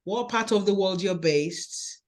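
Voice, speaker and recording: A woman with a Nigerian accent hosting a podcast interview, speaking in a slow, measured, encouraging way. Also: mid-range voice. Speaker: podcast host.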